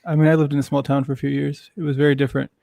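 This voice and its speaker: A man's voice: on the high side, flat delivery, very fast, gravelly, warm tone, dry recording, studio quality. A man chatting on a live stream, hesitant and thoughtful, restarting his sentences often. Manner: hesitant, many fillers and restarts. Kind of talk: casual chat.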